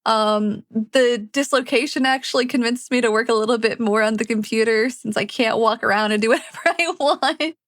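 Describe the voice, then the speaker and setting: A woman's voice: a little high, moderate pace, few pauses, no room sound. A woman storyteller and voice actor who narrates fantasy and chats to her listeners, recorded close up. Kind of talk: scripted fantasy narration and casual chat to listeners.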